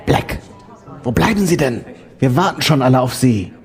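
A man's voice: medium pitch, expressive, normal speed, darkish tone, husky, soft background music. A man playing a demanding senior partner at a law firm in an audio drama, businesslike and patronising. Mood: pressing, businesslike.

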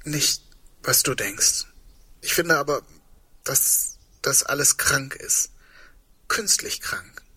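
A husky male voice with a tense edge, brooding and halting. He is a grieving man facing the end of the world in an intimate radio drama. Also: medium pitch, animated, pushed and bright, exaggerated voice. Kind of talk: intimate radio play dialogue.

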